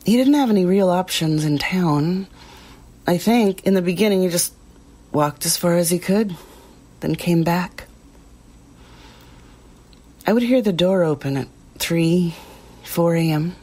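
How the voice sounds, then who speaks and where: A woman playing a quiet, lonely middle-aged churchgoer who recounts her past haltingly and plainly, as in a documentary interview. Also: lowish voice. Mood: subdued, reflective. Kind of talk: audio drama mock-documentary interview.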